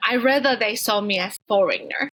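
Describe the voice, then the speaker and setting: A woman from Taiwan, a guest in a casual interview, talking in an earnest, reflective way and sometimes pausing to find her words. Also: medium pitch, a bit bright, no echo.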